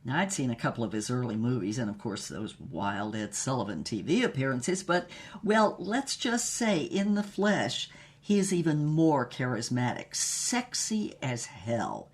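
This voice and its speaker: A woman playing a worldly, brassy older former starlet who tells racy memoirs in a husky voice, wryly and unhurriedly, with dramatic pauses. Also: very deep voice, big pitch swings, full character voice. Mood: sultry, dramatic. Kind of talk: comic scripted memoir narration.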